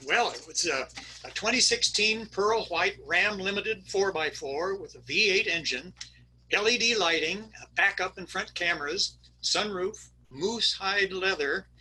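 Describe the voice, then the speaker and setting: A man's voice: high-pitched, pushed and bright, poor audio. A man playing a flustered middle-aged husband who hems and haws about his missing wife, read over a video call for a readers theater skit. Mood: proud. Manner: hesitant and vague about his wife, then eager and detailed about his truck.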